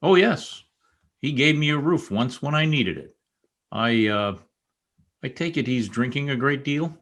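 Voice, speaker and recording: A man's voice: medium pitch, expressive, a little slow, fairly bright tone. A man playing a bitter, commanding former stable boy turned rich, sardonic, in old-time radio drama style.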